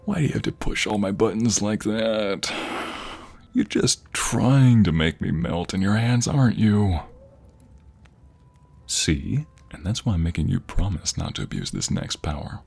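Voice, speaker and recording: A man playing an affectionate, playfully teasing husband in an intimate ASMR roleplay, soft, husky and gravelly, with murmured coaxing and breathy whispers. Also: on the low side, sing-song, unhurried, full character voice. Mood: playful, mock-resisting. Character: affectionate, playfully teasing, sappy husband doting on his wife.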